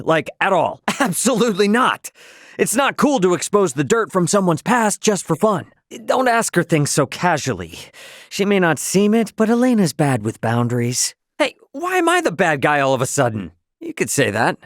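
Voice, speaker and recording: A man's voice: fairly high pitch, dry recording, studio quality. An actor playing an easygoing, cocky young male starship pilot and swordsman in a video game, with breezy, slangy, informal delivery. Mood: flustered, protesting, then casual, thoughtful.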